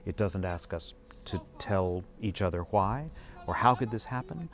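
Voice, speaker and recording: A man with a low voice offers reflective commentary in an interview. His delivery is measured and solemn, landing on key words with emphasis. Soft music sits behind him. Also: animated.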